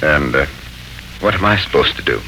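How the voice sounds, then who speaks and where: Dry, fond uncle for vintage radio serial: low, creaky, swinging intonation, teasing but patient, noisy old recording. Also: male voice, lively intonation, fairly quick, bright, forceful tone, heavily acted. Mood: thoughtful, advising. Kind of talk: 1940s radio serial acting.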